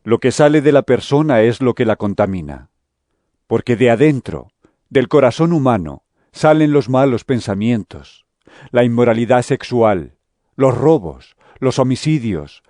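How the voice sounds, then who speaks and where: An actor playing a solemn, authoritative male teacher and healer in a dramatized scripture audio drama, speaking in a measured, deliberate way. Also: mid-range voice, lively intonation, warm tone, no echo, studio quality, natural voice. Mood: solemn teaching.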